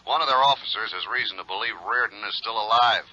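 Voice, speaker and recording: A businesslike insurance man from old radio drama. His voice is lowish and piercingly forceful, with clipped phrasing. The recording is dull and vintage. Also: fast, no echo. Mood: brisk, urgent. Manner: terse, clipped. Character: brisk, businesslike male insurance man handing out an assignment. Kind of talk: 1950s radio drama dialogue.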